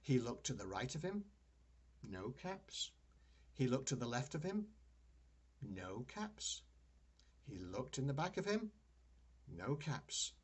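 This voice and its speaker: Grandfather storyteller: older man, husky edge, widely varied intonation, expressive and deliberate read-aloud for young children. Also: mid-range voice.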